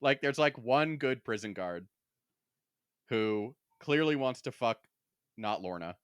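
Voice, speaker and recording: A man's voice: mid-range voice, animated, slow pace, fairly bright tone, smooth, no echo. A man co-hosting a podcast, talking casually about books and peppering his speech with "like". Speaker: podcast co-host. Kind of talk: casual book discussion chat.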